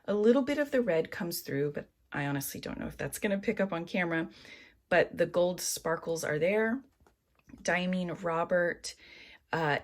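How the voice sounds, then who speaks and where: A woman giving a calm, casual walkthrough of a planner in a vlog, explaining each detail. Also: low voice. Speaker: planner and journal vlogger.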